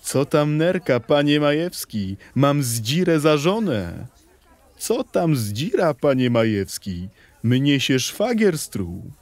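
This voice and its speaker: Man acting out loud, raised-pitch grumbling market trader. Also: big pitch swings, slow pace, full character voice. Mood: grumbling banter. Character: grumbling working-class market men, colloquial, complaining.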